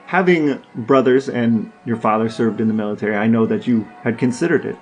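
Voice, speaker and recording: A man's voice: medium pitch, brisk, darkish tone, faint music behind, rough recording. A man interviewing a guest on a podcast, asking prepared questions.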